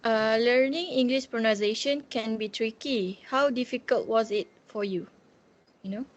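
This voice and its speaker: A young woman on a video call, softly reading out interview questions with small slips. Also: fairly high pitch, moderate pace. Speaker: student interviewer.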